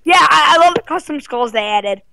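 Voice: high-pitched, expressive, a little fast, creaky, sharp tone. A child or young teen chatting casually and playfully as a co-player in a game. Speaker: co-player guest. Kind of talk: casual gaming chat.

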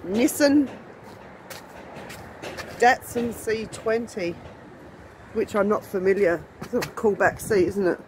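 A woman chats casually as she walks along outdoors, admiring things and musing aloud. Her pitch is middling for a woman. The phone recording is noisy, with clear wind around her voice. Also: slow, long pauses. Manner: chatty, admiring, musing aloud with rhetorical questions. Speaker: vlogger. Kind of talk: casual walk-along vlog commentary. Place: outdoor car wrecking yard. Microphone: handheld phone held while walking. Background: wind noise.

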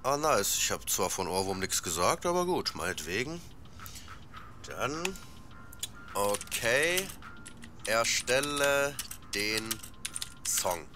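A man in a casual audio drama, talking slangily and haltingly as he thinks aloud. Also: lowish voice, very animated, background noise. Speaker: rapper playing himself in an audio drama.

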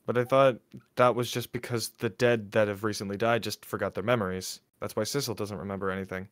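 A man's voice: on the low side, fairly even intonation, fairly quick, dry recording, studio quality. A man live streamer chatting casually as he comments on a game. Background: game music.

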